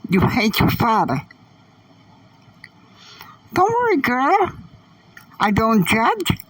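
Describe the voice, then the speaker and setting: A man voicing a sassy young woman friend in a high, breezy, teasing voice. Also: huge pitch swings, very slow, fairly bright tone, far from the mic, full character voice.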